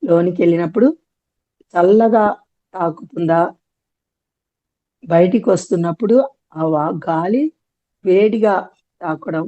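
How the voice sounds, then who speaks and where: A woman leading a guided meditation, giving gentle instructions in short, often repeated phrases. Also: fairly low pitch, measured pace, long pauses, highs cut off.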